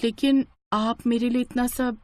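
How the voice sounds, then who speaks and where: A woman's voice: a little high, steady tone, measured pace, a little mellow, no room sound, natural voice. A woman voicing a poor, dutiful young food-cart seller in a moral story, earnest and respectful. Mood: downcast, hesitant.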